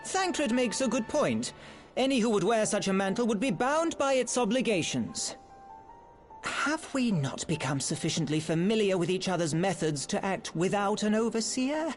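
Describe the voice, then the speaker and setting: An actress playing a composed, wise and independent woman scholar in a video game, formal and deliberate. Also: mid-range voice, very animated, faint music behind, natural voice. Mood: calm, firm.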